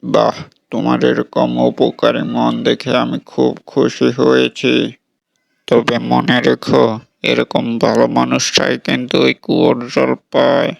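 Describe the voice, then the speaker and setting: Guy doing a kind old cartoon sage, croaky fry all through, flat melody, dry sound. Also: mid-range voice, measured pace, dry recording. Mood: kindly, solemn. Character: kindly, wise old man of the forest.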